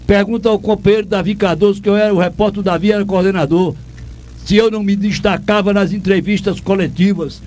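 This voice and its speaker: A man's voice: high-pitched, a little mellow. An older man with a Pernambuco accent, a radio host and former sports reporter, ranting with indignant emphasis and lots of repetition. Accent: Northeastern Brazilian, Pernambuco. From Pernambuco, Brazil. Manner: indignant, emphatic, repeats himself. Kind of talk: ranting commentary.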